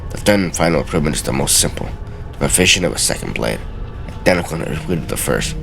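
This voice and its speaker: A man with a gravelly voice doing a theatrical, deliberate dramatic reading as a let's play host, sometimes stumbling over words. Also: a bass voice, lively intonation. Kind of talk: dramatic reading of video game journal pages. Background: music.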